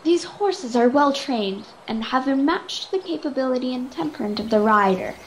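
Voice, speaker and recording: A female performer playing a brisk, secretive noblewoman in a radio-style adventure serial. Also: high-pitched, lively intonation, a little hiss or hum, poor audio. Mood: brisk, welcoming. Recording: video call with background music.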